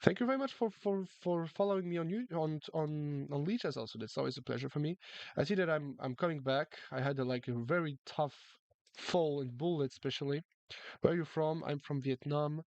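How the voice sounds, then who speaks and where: A man's voice: on the high side, a little fast, darkish tone, dry recording, lo-fi sound. Guy streaming chess, chatty, repeats himself a lot, dry close sound. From France. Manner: chatty, repeats words, reads chat aloud. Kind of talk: casual live-stream chat.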